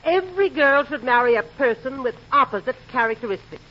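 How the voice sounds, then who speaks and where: Matronly aunt with swooping delivery. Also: female voice, sits high, lively intonation, a little fast. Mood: instructive. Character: advice-giving, matronly comic aunt. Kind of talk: 1940s radio comedy acting. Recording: muffled, faintly noisy 1940s radio recording.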